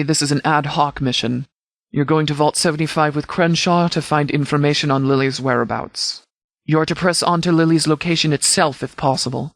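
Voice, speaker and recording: An actor playing a commanding post-apocalyptic sheriff in a video game, speaking in a clipped, formal, authoritative way. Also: male voice, a little high, no echo, studio quality, lightly acted.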